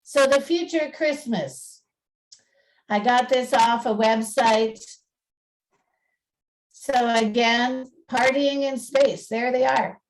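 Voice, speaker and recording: Recorded a little away from the microphone, a woman gives an informal presentation. Her voice is fairly high and she speaks slowly. She comes across as chatty and self-deprecating. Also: far from the mic, rough recording. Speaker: museum presenter and radio play narrator. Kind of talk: informal talk with slides and dramatic reading.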